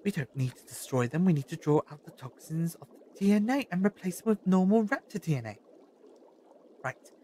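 Woman playing low-voiced, defiant time-travelling hero. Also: animated, brisk, mellow, slight background noise, close to an everyday voice. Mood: urgent. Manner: earnest, emphatic. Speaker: actor in an audio drama. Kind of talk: dramatic audio drama acting. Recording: roomy echo with music behind.

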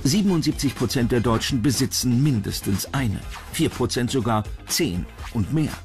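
A man's voice: mid-range voice, lively intonation, moderate pace, faint music behind. A man reading scripted narration as the narrator of a countdown documentary.

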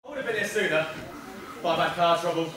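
Man as a very high, excitable comic bee. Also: fast talker. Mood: jokey. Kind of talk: amateur stage pantomime comedy. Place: theatre stage, indoors. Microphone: distant camera in the audience. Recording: echoey theatre from far off, audience noise.

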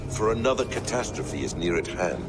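An actor playing a calm, cryptic man who guides the heroine, low and measured, in a video game cutscene. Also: heavy background noise. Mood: solemn. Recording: game audio with loud music.

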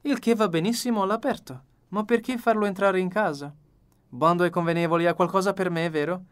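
Older male detective, a reader's character voice, raised pitch, courteous, precise, fussy, audiobook dialogue. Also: fairly even intonation, a little fast, clear, resonant tone, natural voice. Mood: welcoming. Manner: effusive, precise, polite.

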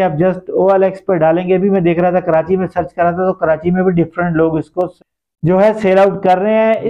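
A man's voice: high voice, highs cut off. A middle-aged man with a Pakistani accent, explaining things to viewers as the host of an online video. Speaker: YouTube host. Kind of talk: explanatory business-idea vlog addressed to viewers. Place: small plain indoor room.

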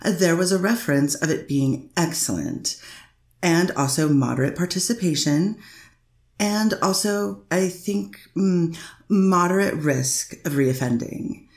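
A woman's voice: on the low side, expressive, unhurried, a little mellow. Woman attorney cross-examining in an echoey courtroom. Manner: leading questions, tag questions. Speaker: defense attorney.

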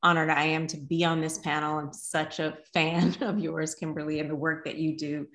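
Woman on a zoom panel, deep voice, echoey room, laid back. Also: little pitch movement, normal speed, fairly bright tone. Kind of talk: panel discussion on video call. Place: sunny home room. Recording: roomy laptop audio.

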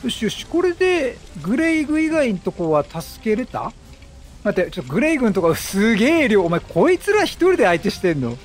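High-voiced, animated man commentating a game. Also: fairly quick. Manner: chatty, exclamatory, playfully mimics characters. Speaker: gameplay streamer. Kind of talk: video game commentary, reacting excitedly.

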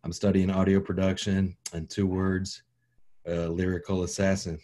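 Laid-back deep-voiced man. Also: flat delivery, normal speed, dark tone. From Philadelphia and Detroit suburbs, USA. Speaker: student.

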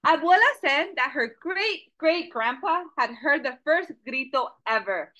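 A young woman reads a picture book aloud to children over a webcam. Her voice is high and bright, and it swings widely in pitch as she questions her young listeners. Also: rough recording. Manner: animated, asks children questions, switches between English and Spanish. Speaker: storytime reader. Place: small office with a Mexico flag poster. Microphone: video call webcam.

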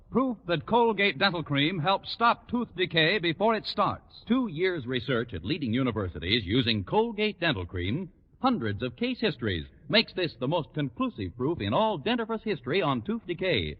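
A man's voice: fairly high pitch, slightly rough. A man announcing a 1940s radio advertisement in an emphatic, salesman-like manner. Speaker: radio announcer reading a commercial. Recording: muffled, reverberant 1940s radio recording.

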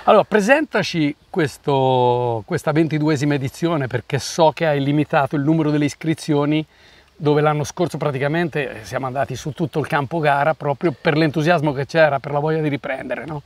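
A man's voice: fairly high pitch, brisk, no echo. A middle-aged man interviewing outdoors, asking prompting questions that often end in a tag. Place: outdoor park by a car park.

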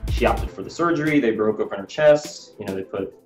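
A man's voice: lowish voice, lively intonation, brisk, darkish tone. An American man in his thirties telling personal stories casually in a conversational, explanatory way. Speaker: video host and health business owner. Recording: roomy echo with music behind.

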